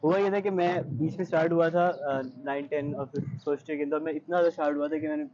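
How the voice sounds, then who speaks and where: A man's voice: fairly high pitch, fairly even intonation, quick pace, a little mellow, dull audio, slight background noise. A young man with a Pakistani accent answering interview questions in an earnest, reflective way, mixing in English phrases. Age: young adult. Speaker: interview guest, high-achieving student.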